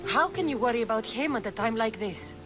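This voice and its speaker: A woman's voice: on the high side, animated, brisk, close to an everyday voice. A woman playing a plain-spoken ancient Greek heroine in video game dialogue, direct, terse and skeptical. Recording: music under the voice.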